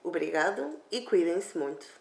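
A woman in her fifties co-hosting a community TV show, talking slowly and haltingly with many fillers at a table in a living room. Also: lowish voice, expressive, gravelly. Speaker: community TV co-host. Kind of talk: casual talk to camera. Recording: echoey room.

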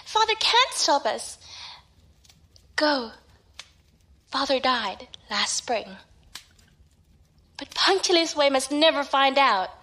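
Woman playing a high-voiced, earnest young daughter. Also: sing-song, slow pace, long pauses, pushed and bright, exaggerated voice. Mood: worried confiding. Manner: earnest, sighing. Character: earnest, worried young daughter guarding family secret. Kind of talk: audio drama acting.

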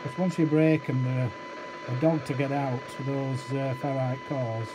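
Man thinking aloud over loud noise. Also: mid-range voice, fairly even intonation. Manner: halting, thinking aloud while working. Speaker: electronics repair demonstrator. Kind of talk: informal hands-on technical walkthrough. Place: indoors at an electronics workbench. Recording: very noisy amateur room recording, loud hiss. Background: loud electronic noise.

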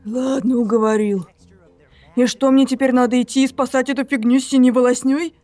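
A woman's voice: sits high, fairly even intonation, brisk, a little mellow, no echo, natural voice. A woman voicing a grumpy, reluctant hero. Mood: grumbling. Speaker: voice actor for the hero. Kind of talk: amateur visual novel voice-over.

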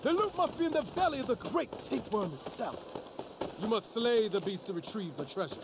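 A man's voice: sits high, expressive, fairly quick, noisy background. An actor playing a geeky man who role-plays a pompous fantasy adventurer in theatrical mock-archaic speech for a video game. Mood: grandiose.

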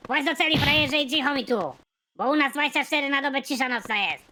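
The narrator puts on a grumpy cartoon prison guard who barks everything in a higher, tight voice. He is bossy and irritable, and the faint noise of a home recording sits behind him. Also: fast, pushed and bright. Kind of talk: animated comedy voice acting.

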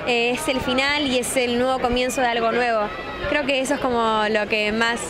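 Young actress on a noisy red carpet. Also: female voice, on the high side, fairly even intonation. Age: young adult. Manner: quick, restarts phrases often. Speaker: lead actress. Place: outdoor red carpet with a crowd. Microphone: handheld press mics held close. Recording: loud crowd and music behind.

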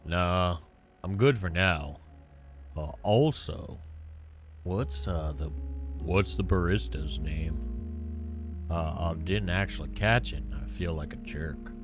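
A man voicing a hesitant, apologetic young man in a story game, mumbling and halting. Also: low voice, voice pushed down. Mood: awkward. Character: laid-back, hesitant young male cafe guest, recently dead. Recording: music playing behind the voice.

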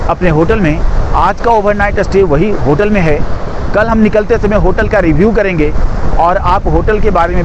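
A middle-aged man with a high voice guides viewers on a trip, talking in a plain, explanatory way. The sound is dull and lo-fi, recorded outdoors on a handheld camera. Loud river and open-air noise runs under his voice. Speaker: travel vlogger. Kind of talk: casual travel narration to camera. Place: outdoors by a mountain river and tent camp.

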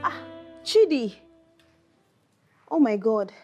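A woman's voice: fairly high pitch, sing-song, slow pace, mellow, faint music behind, full character voice. A woman playing a worried, caring young friend in a comedy skit, exclaiming and pleading with a Nigerian accent. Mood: shocked.

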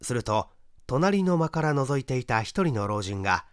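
A man reading a literary mystery as dramatised narration, in a formal, measured storytelling manner. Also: mid-range voice, very animated, clean tone, no room sound, studio quality. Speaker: audiobook reader, stage actor.

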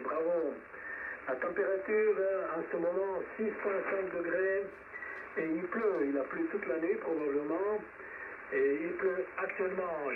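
Guy running a ham radio net, kinda high voice, tinny shortwave w static. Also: distant mic. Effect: shortwave radio transmission. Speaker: net controller of an amateur radio round table. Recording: narrow, noisy shortwave radio reception.

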